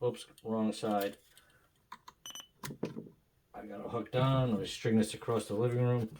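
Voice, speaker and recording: A man giving a casual, unscripted demonstration as a hobbyist, thinking aloud in a halting, uncertain way. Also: fairly low pitch, little pitch movement, darkish tone. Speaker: hobbyist vlogger. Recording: noisy with clicks and radio. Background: radio noise and clicks.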